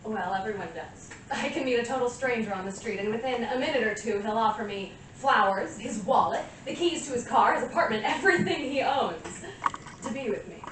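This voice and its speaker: An actress plays a vain, deluded woman convinced of her own irresistible allure. She speaks in a deliberate, self-adoring stage manner with pauses. The sound is distant and very reverberant, with clear background noise. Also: mid-range voice, lively intonation, lightly acted. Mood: smug, seductive. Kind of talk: theatrical stage monologue. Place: small live stage venue with audience. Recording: echoey room from far off, noisy.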